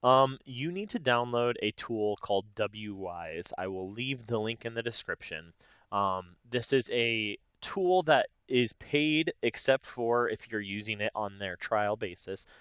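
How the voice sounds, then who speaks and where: A man narrating a casual software tutorial step by step, informally thinking aloud as he goes. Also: lowish voice, animated, a little slow, clear, resonant tone, dry recording. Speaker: tutorial host.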